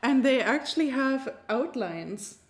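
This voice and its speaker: A woman's voice: high-pitched, expressive, a little slow. A woman, an amateur writer, chatting casually about her own writing and often laughing as she talks. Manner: giggly, laughs often while talking.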